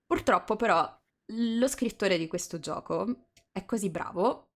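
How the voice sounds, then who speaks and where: A woman's voice: medium pitch, measured pace. A woman talks in a loose livestream chat with a mid-range voice. She hesitates, circles back on her phrases and sometimes drops into English, hosting in an informal, unscripted way. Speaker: host of a live visual novel reading.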